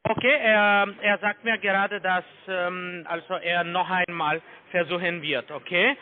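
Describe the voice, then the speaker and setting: A brisk, smooth-talking man comes through a thin, tinny phone line. His voice sits fairly high, and he rattles off instructions fluently with only small grammar slips. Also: steady tone. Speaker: call center manager. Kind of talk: phone call.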